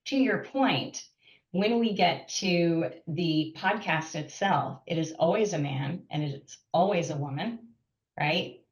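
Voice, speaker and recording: Woman co-hosting a call, low voice, far from the mic in an echoey room, muffled. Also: slow pace. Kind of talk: conversational remarks on a call. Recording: distant, roomy, dull call audio.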